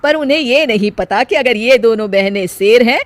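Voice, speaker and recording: A woman's voice: fairly high pitch, normal speed, a little hiss or hum, natural voice. Woman as an emphatic older mother-in-law. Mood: sly, amused. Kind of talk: Hindi cartoon moral-story voice acting.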